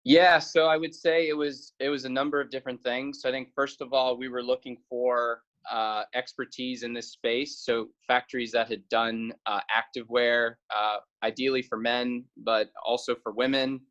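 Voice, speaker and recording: A man from South Carolina answers as an interview guest. His pitch is average and moves very little, and he is slightly hesitant. The recording sounds dull. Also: flat delivery, normal speed, hardly any pauses, a bit bright. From South Carolina, United States. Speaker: interview guest, company founder.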